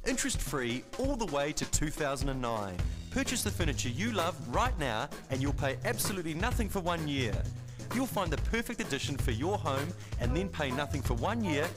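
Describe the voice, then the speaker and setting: A man's voice: on the high side, very animated, fairly quick. A man reading a scripted commercial voice-over as announcer. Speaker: advert announcer. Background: music.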